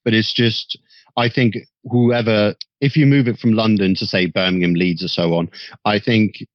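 A man on a political discussion panel, making a measured point that trails off at the end. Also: fairly low pitch, steady tone, no room sound, lo-fi sound.

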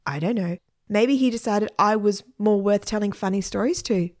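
A woman's voice: medium pitch, moderate pace, darkish tone, close to an everyday voice. A woman voicing a sassy, squeamish girl who likes to taunt and one-up in a children's story.

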